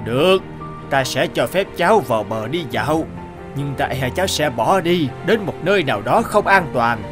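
A man voicing a kindly, protective grandfatherly fish in a cartoon fairy tale, slow and soothing. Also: on the high side, lively intonation, roomy sound, music in the background, lightly acted. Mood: kindly, cautious.

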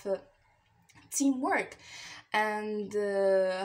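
An eighteen-year-old woman from Morocco with a Moroccan accent gives a motivation speech to camera in hesitant, halting non-native English. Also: medium pitch. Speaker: university applicant. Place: small plain indoor room.

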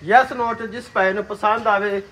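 A man's voice: high-pitched, steady tone, normal speed, crowd noise. A man with a Punjabi accent, the dairy farm owner, answering questions about his cows outdoors with short replies full of pious phrases. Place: outdoor farmyard.

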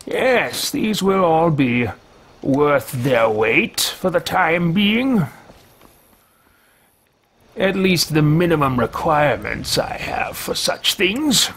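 A man's voice: a little high, very animated, slow pace, fairly bright tone, full character voice. A man voicing a formal male mage adventurer who mutters to himself in stately phrases while roleplaying a game. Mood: musing.

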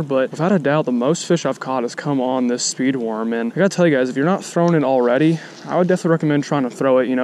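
A man's voice: mid-range voice, darkish tone. A young man chatting to the camera while fishing outdoors at a pond, rambling with lots of fillers. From central Texas. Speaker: fishing vlogger. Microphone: action camera mic. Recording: outdoor noise behind.

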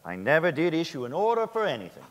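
A man's voice: on the high side, huge pitch swings, quick pace. Guarded male witness played by an actor, echoey hall. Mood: guarded, defensive. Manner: terse, defensive. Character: guarded male official denying accusations on the stand. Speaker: actor in a staged dramatic reading.

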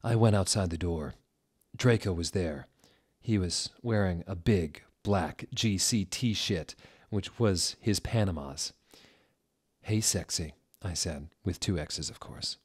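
A young man reads aloud in a slightly low voice. His delivery is unhurried and mock-serious, deadpan yet theatrical in its emphasis, as if the clumsy prose were high drama. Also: breathy edge. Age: young adult. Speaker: reader, show host. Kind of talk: mock-dramatic reading of bad fan fiction. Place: indoor room at a table. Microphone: desk microphone on a stand, close.

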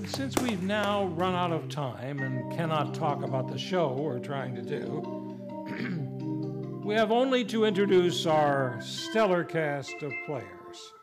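A man playing an exasperated, formal radio show host, announcing in a vintage radio style. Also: on the high side. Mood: formal, showy. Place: radio studio. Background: music.

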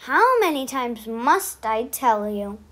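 A woman's voice: on the high side, expressive, slow pace, a bit bright, voice pushed down, exaggerated voice. A woman voicing a tired, grumpy male zebra who keeps shushing the other animals and begging to sleep. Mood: weary pleading. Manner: weary, pleading shushing.

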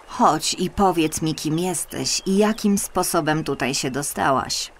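A woman voicing a curious old woman in a storybook, slow and compassionate in manner. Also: sits low, a little hiss or hum. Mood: pitying, curious. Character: old woman, pitying, curious cottage dweller. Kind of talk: storybook character voice.

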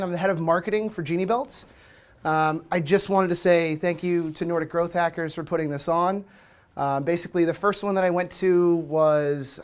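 Relaxed American man giving a talk. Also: fairly high pitch. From Washington, D.C., USA. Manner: relaxed, chatty, many fillers. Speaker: conference speaker. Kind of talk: casual presentation talk. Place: meetup room with projector screen.

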